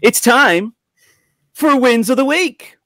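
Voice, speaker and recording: A man's voice: extremely high pitch, animated, slow pace, background noise. A man hosting a casual group chat on a video call, with an upbeat announcer-like delivery. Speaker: podcast host. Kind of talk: lively casual group chat about toys. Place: home room on a video call.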